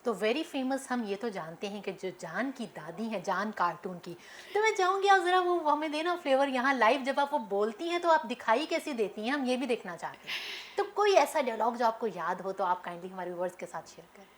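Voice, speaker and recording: A woman's voice: fairly high pitch, expressive, brisk, a little hiss or hum. A woman with a Pakistani accent hosting a TV talk show, effusive and emphatic, often mixing in English.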